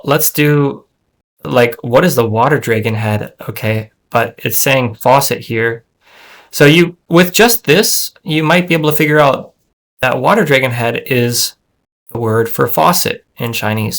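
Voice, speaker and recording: A man at his computer walks through a language-learning app he built. He thinks out loud, hedging with ums and uhs and restarting phrases, in an everyday mid-range voice. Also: mid-range voice, measured pace, gravelly. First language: English. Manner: thinking aloud with frequent fillers and restarts. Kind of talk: casual screen-share software demo.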